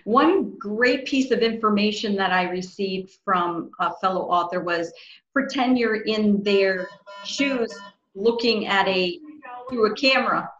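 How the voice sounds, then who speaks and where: A woman's voice: medium pitch, measured pace, fairly bright tone, highs cut off. A middle-aged woman hosting a casual video interview in a chatty, informal way. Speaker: interview host. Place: small room at home, indoors. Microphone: computer mic on a video call. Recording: roomy, echoey home room.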